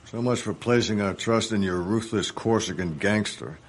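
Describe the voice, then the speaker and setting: An actor playing a shrewd, sardonic senior spy chief in a radio drama, curt and authoritative. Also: male voice, lowish voice, moderate pace, a little hiss or hum, close to an everyday voice. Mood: bitter, shaken.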